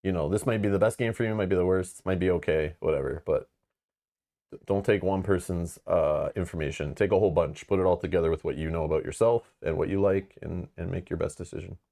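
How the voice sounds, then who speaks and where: A man's voice: low voice, a little fast, vocal fry, warm tone. A man hosting a live board game stream, talking in a casual, rambling way with lots of fillers and frank asides. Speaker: board game channel host.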